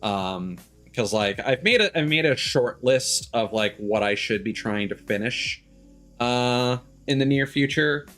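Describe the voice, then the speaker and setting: A man chatting casually as he hosts a game stream, rambling and halting, with stammers and offhand swearing. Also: mid-range voice, animated, fairly bright tone. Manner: casually profane. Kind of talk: casual livestream chat. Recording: music playing behind the voice.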